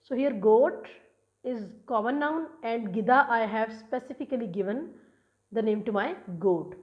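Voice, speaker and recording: Pakistani lady teacher going over grammar, repeats herself, kinda higher voice. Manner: explains slowly, restates points with small restarts. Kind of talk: recorded grammar lesson. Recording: dull lo-fi sound.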